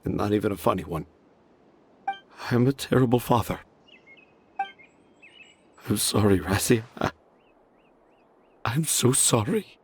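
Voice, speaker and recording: A man's voice: fairly low pitch, animated, a little slow, pauses often, slight background noise. A man voicing a remorseful, self-deprecating father and storyteller in a video game, solemn and confessional. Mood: remorseful, defeated.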